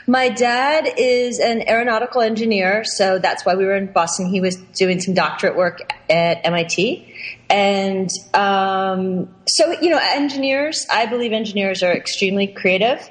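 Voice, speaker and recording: A chatty woman guest talks in loose, easy sentences that restart and trail off, laughing lightly now and then. Her pitch sits in a middle range. A long reverb hangs around her voice. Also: moderate pace. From Pennsylvania, US. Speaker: podcast guest, workshop founder. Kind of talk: casual interview chat.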